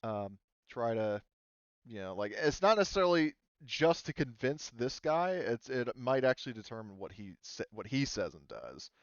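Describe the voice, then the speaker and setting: A man narrating a tabletop roleplaying game as game master, improvising in a halting way with many pauses. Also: medium pitch, big pitch swings, no echo, dull audio.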